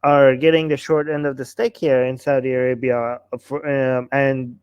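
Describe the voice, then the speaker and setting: A man's voice: medium pitch, little pitch movement, moderate pace, a little mellow, clean tone, dry recording. A man hosting a panel discussion, speaking emphatically and repeating phrases for stress. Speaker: host of a discussion show.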